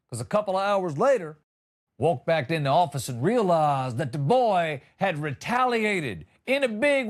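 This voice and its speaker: A middle-aged man hosting a comedy show, telling a story in a wry, exaggerated way with emphatic punchlines. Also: high voice. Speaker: comedian and podcast host. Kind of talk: comic storytelling monologue.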